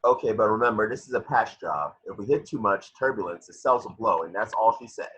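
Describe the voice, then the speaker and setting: A man's voice: lowish voice, lively intonation, a little fast, fairly bright tone, breathy edge, low-quality recording. A man reading a blunt, cautioning ship engineer in an amateur script table read, speaking emphatically. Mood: warning.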